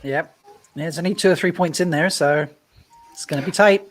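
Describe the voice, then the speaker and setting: A man with a somewhat high voice takes part in a livestream panel. His delivery is casual and conversational. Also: moderate pace, a bit bright. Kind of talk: casual chat.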